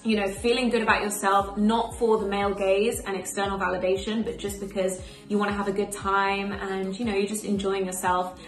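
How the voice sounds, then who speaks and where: A woman's voice: medium pitch, a bit bright, echoey room. A young woman who grew up in Spain, a singer talking to camera about her music in an upbeat, chatty way. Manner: upbeat, chatty, lists things quickly. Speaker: emerging singer interviewed. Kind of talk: casual to-camera answers. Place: small bright room indoors.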